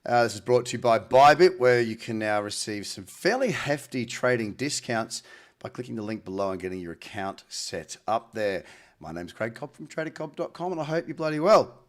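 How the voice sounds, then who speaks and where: A man's voice: mid-range voice, animated, moderate pace. Nasal man with a head cold. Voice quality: slightly nasal. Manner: informal, matey, colloquial. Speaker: host, crypto trading analyst. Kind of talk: casual market commentary to camera.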